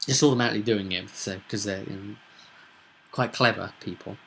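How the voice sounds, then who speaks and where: A man casually recapping a tabletop roleplay session as game master, telling it in a halting, emphatic way with dramatic pauses. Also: lowish voice, gravelly, fairly bright tone, slight background noise, low-quality recording.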